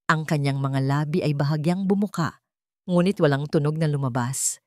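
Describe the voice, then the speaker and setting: A woman narrating a dramatic scripted story in slow, deliberate phrases with pauses. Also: low voice, dry recording, clean studio sound.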